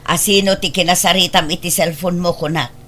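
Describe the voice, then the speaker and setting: A woman's voice: lowish voice, steady tone, brisk, fairly bright tone, slight background noise, lightly acted. A woman acting a stern, suspicious mother in an Ilocano radio drama, with a Filipino Ilocano accent. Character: stern, suspicious mother forbidding contact with her daughter.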